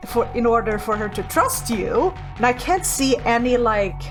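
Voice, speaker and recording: A woman's voice: on the high side, expressive, moderate pace, over music. A woman playing a tabletop roleplaying game, casually thinking aloud about her character's moves and swearing freely.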